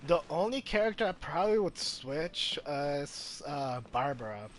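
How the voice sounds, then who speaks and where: A man's voice: on the high side, expressive, normal speed, slight background noise. A man giving casual live gameplay commentary, hesitantly thinking aloud as he plays. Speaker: game streamer.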